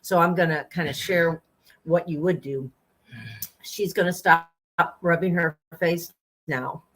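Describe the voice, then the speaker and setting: A woman chats her way through a makeup demonstration, giggling often and giving gentle, bossy instructions to her client. Her voice sits a little low for a woman. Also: a little slow. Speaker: makeup artist.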